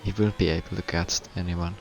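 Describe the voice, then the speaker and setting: A Finnish man commentating a sim race in English, a second language for him, with a halting, stuttering delivery. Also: low-pitched, steady tone, a little hiss or hum. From Finland. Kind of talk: live sim racing commentary.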